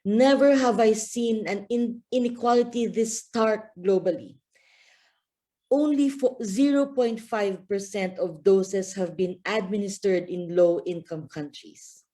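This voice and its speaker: A woman's voice: mid-range voice, a little slow, mellow. A woman from the Philippines giving an earnest talk at a press briefing over a video call, with frequent fillers. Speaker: guest speaker, campaign manager.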